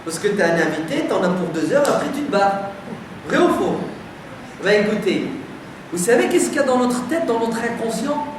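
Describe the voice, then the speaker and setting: High-voiced man preaching in an echoey hall. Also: big pitch swings, moderate pace. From France. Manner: rhetorical questions to the crowd, emphatic and conversational. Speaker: preacher addressing a community audience. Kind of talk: lively lecture to a live audience. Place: community centre hall. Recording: very echoey hall, distant, noisy.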